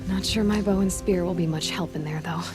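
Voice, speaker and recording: An actress playing a determined, loyal young outcast hunter in a video game, speaking in an earnest, plainspoken way. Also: female voice, on the low side, fairly even intonation, brisk, natural voice. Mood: earnest, uncertain. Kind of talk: dramatic video game cutscene acting. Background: music.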